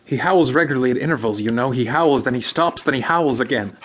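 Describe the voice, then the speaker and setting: A man plays a jittery American client with a grievance in a radio drama. He talks quickly at a middling pitch, edgy and insistent, obsessed and easily nettled. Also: close to an everyday voice. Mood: agitated.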